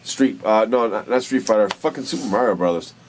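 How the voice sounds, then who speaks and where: A man's voice: fairly low pitch, fairly quick, slight background noise. A man chatting casually about films and games in an emphatic, argumentative way, with frequent swearing.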